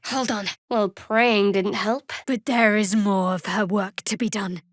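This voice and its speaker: A woman playing a guarded, devout young cleric of a dark goddess in a fantasy video game, speaking in a measured, dry, slightly wry way. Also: medium pitch, steady tone, no room sound, close to an everyday voice. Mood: dry, observant. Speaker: video game character voice actor. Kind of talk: scripted fantasy game dialogue.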